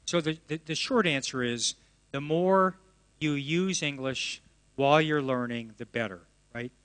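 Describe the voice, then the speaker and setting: A man answers questions from the audience with eager, rambling detail, often restarting his sentences. His voice sits fairly high for a man. The sound carries the long reverb of a large hall. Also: a little slow, a bit bright, clear, resonant tone. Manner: enthusiastic, answers at length with restarts. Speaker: presenter of educational software. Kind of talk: Q&A after a talk.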